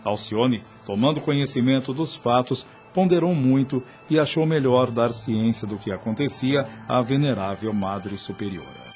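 A man's voice: medium pitch, moderate pace, hardly any pauses, darkish tone. A man with a Brazilian accent reading scripted radio drama narration in a solemn, literary manner. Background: music.

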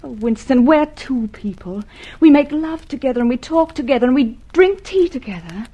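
A woman's voice: sits high, animated, moderate pace, warm tone, slightly rough, highs cut off. An actress playing a tender, devoted but wary woman in love in a radio drama, with emotional, coaxing delivery. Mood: pleading, devoted. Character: tender young female lover, wary and devoted.

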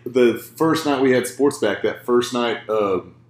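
A man's voice: mid-range voice, measured pace, echoey room, a little hiss or hum. A man co-hosting a casual sports podcast, joking and laughing often as he talks. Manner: laughs often, jokey asides. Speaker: podcast co-host.

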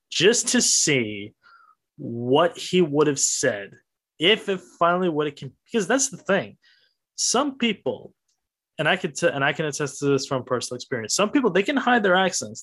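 A man's voice: mid-range voice, a bit bright. Man with an Upper Midwest accent, blunt ranting podcast co-host. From Wisconsin, US Midwest. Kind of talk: casual sports podcast chat.

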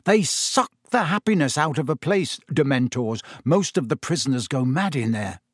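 A calm, breezy schoolboy in his older teens, voiced by a male reader. He talks reassuringly, in a dry audiobook recording. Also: mid-range voice, moderate pace, slightly rough, dry recording.

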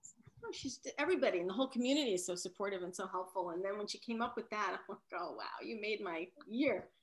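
A woman's voice: medium pitch, quick pace. A woman novelist whose first language is Italian, talking casually in an interview, often trailing off and rephrasing.

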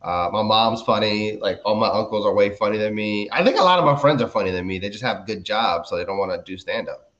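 Man in his thirties, from the DC area, low voice, laid-back rambling podcast talk, dull lo-fi recording. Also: fast, a bit bright. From DMV area, US. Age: 30s. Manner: laid-back, rambling, many fillers and restarts. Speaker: guest comedian. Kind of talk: casual podcast chat.

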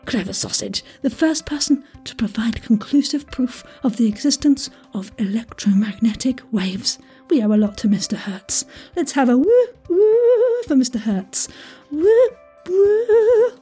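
High-pitched, husky woman hosting a playful quiz. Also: very animated, measured pace, dark tone, soft background music. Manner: theatrical and jokey, with gasps, asides and exclamations. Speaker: quiz host.

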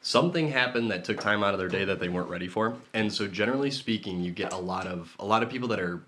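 A man's voice: fairly low pitch, fast talker, a bit bright, a little hiss or hum. A man who runs a tire shop, guesting on a casual podcast and explaining his work in a friendly, practical way.

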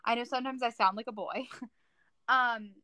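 A woman's voice: a little high, normal speed, bright, forceful tone, no echo. A teenage girl co-hosting a podcast, chatting casually and earnestly, a little self-conscious. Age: teens. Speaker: podcast co-host. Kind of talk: casual chat.

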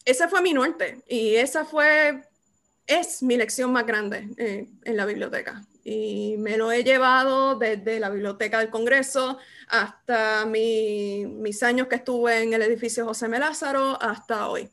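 A young adult woman hosting a casual chat, lively and quick to laugh. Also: fairly high pitch. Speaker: host. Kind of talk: casual conversation.

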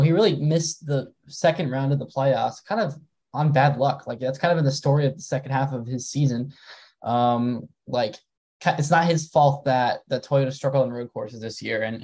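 Relaxed young man with a mellow voice. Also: medium pitch. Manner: relaxed, many fillers like "um" and "like". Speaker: podcast co-host and writer. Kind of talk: casual podcast chat.

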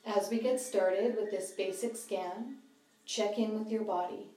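A woman guiding a mindfulness meditation, giving calm, measured instructions in short phrases. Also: medium pitch. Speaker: meditation guide. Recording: slightly distant roomy sound, music behind.

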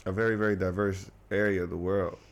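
A man's voice: low-pitched, flat delivery, fast talker, a little hiss or hum. A young man from the Washington DC area, talking casually as a guest and rambling with many restarts. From United States, Washington DC area. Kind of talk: casual conversation.

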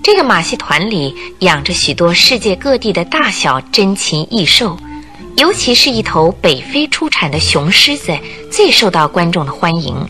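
A woman reading dramatic scripted narration for a radio drama, expressive and suspenseful. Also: fairly low pitch, normal speed. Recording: music bed under the narration.

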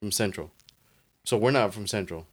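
A young man with a fairly low voice chatting as a podcast co-host. He banters loosely, laughs easily and states his opinions plainly. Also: moderate pace. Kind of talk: casual chat.

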